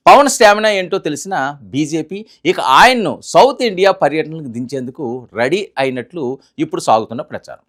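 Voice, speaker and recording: A man narrates scripted political news analysis as a presenter, emphatically, letting sentence endings trail off low.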